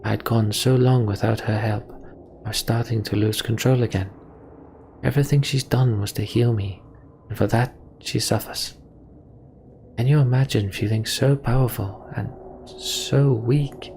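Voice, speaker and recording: A man voicing a troubled, remorseful sorcerer in a game, with a halting, confessional manner. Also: on the low side, a little mellow, lightly acted. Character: troubled male sorcerer, remorseful and burdened by power. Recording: background noise.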